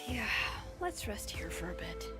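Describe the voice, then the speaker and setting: A woman's voice: medium pitch, sing-song, unhurried, music in the background. A woman voicing a laid-back yet probing and stubborn young craftswoman in a video game. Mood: drowsy, musing.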